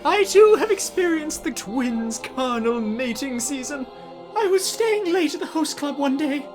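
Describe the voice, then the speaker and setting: Anime parody lead: grandiose pretty-boy student played by a woman, high and very animated, music underneath. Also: unhurried. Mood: melodramatic. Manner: theatrical, grandiose. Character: flamboyant, dramatic teenage prince-type boy host club leader. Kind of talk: fan parody dub of anime.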